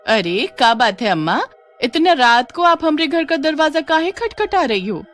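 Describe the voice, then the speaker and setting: A woman's voice: high voice, moderate pace, faint music behind, voice pushed up. A woman playing a rustic, easily alarmed wife with an in a folktale. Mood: puzzled. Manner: exclaiming, animated. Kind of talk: dramatized Hindi horror folktale.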